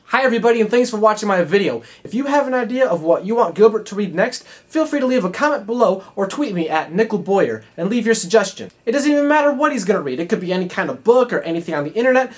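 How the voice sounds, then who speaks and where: Lively male video host. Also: high voice, fast talker, hardly any pauses. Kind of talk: casual address to viewers.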